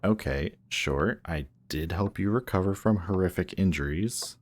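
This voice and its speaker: A man playing a grumpy, guarded villain who is secretly softhearted, speaking haltingly and defensively in an intimate one-sided roleplay audio. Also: low voice. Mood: grudgingly fond. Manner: halting, defensive, reluctantly tender. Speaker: voice actor.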